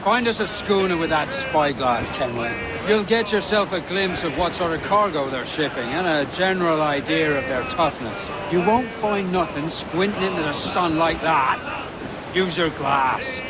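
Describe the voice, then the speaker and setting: An actor playing a seasoned pirate captain in a video game, commanding, mocking and menacing, lecturing in theatrical sailor's talk. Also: male voice, on the high side, animated, moderate pace, very noisy, natural voice. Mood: commanding, instructive. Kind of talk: video game cutscene acting.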